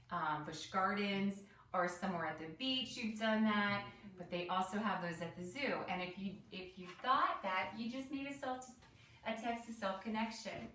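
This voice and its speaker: A woman's voice: medium pitch, fairly bright tone. A woman teacher reading a picture book to children, explaining as she goes and putting questions to the listener. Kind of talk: picture-book read-aloud with commentary for children. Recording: roomy echo, distant mic.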